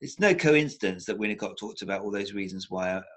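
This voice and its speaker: Low-voiced man, thoughtful restarts. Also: lively intonation, fast talker, a bit bright. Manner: thoughtful, many restarts, repeats words for emphasis. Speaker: psychotherapist guest. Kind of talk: reflective video-call interview. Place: home room with bookshelves. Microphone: laptop webcam on a video call.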